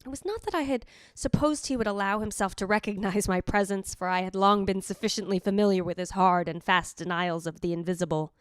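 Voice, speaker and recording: A woman's voice: mid-range voice, a little fast, few pauses, clean tone, no echo, studio quality, close to an everyday voice. A woman playing the yearning, possessive ghost of a dead wife, narrating formally in old-time radio drama style. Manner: formal, literary, theatrical delivery.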